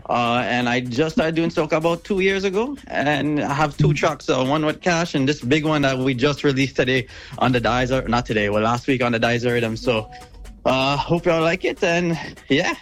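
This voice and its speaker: Relaxed man, mid-pitched. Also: normal speed, faint music behind. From Trinidad. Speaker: soca singer guest. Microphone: call line.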